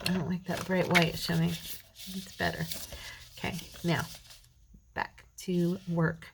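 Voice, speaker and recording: A woman's voice: fairly low pitch, little pitch movement, slow pace, gravelly, noisy background. A tired, middle-aged woman, chatting to her viewers and muttering to herself as she works through a craft tutorial. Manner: chatty, rambling, talks to viewers while working; mutters softly to herself while working. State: tired, gravelly voice. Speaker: craft channel host. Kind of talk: casual crafting tutorial chat.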